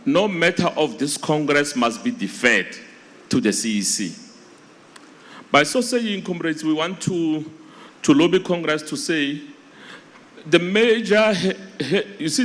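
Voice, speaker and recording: Casting: male South African delegate, mid-range voice, formal but halting congress speaker who often repeats himself. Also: mid-range voice. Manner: halting, repeats words, formal appeals to comrades. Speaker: provincial delegate. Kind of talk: addressing a congress from the floor.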